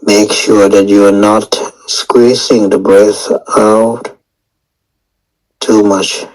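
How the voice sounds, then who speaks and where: Slow, low-voiced man guiding meditation. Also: flat delivery, low-quality recording. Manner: unhurried, gently repetitive, with long pauses. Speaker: meditation teacher. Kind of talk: guided meditation instruction.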